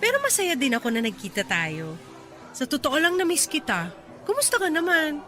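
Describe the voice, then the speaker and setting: A bright, high voice of a hardworking young woman in a radio drama, lively and full of swings in pitch. Soft music plays quietly beneath her lines. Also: normal speed, heavily acted.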